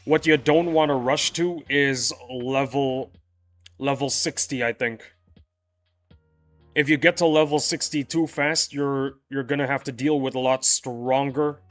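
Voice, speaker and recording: A man's voice: medium pitch, steady tone, measured pace, a bit bright. A young man chatting loosely with viewers during a game livestream, often restarting his sentences. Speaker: game streamer. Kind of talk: casual livestream chat with viewers. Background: faint background music.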